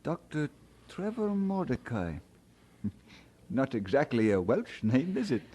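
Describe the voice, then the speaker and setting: An actor playing a humble, earnest young immigrant in an audio drama, speaking in a polite, slightly hesitant way. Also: male voice, medium pitch, very animated, unhurried, warm tone. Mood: friendly, wry. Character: young Scottish immigrant man, humble, earnest, would-be minister.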